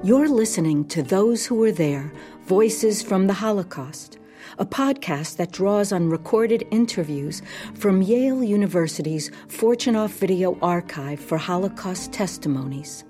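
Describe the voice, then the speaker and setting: A woman hosting a podcast, reading a scripted narration in a measured way. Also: mid-range voice, animated, warm tone, soft background music. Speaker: podcast host.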